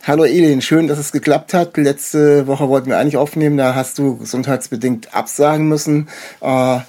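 A man's voice: a little high, fairly even intonation, brisk, mellow. A man hosting a podcast in a casual interview style, feeling his way through his phrasing. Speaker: podcast host.

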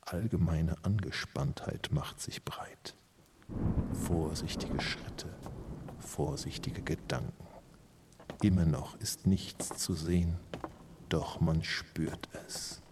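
A man's voice: a bass voice, fairly even intonation, background noise. A man playing an ominous, suspenseful narrator in a haunted house radio play, dropping at times into whispers. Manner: slow, suspenseful, partly whispered.

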